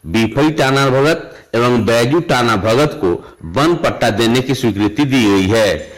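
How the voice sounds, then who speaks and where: A man's voice: medium pitch, low-quality recording. A man delivers a scripted news report as a correspondent, speaking formally.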